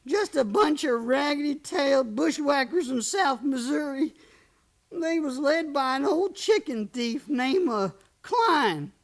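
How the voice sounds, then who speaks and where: A naive drifter of the old West, acted by a woman, speaking very slowly in folksy American speech. The voice sits high, and the manner is gentle and plain, as in a radio Western. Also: animated. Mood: wistful, plain. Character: simple, gentle drifter man, a former Union soldier. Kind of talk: Western radio play acting.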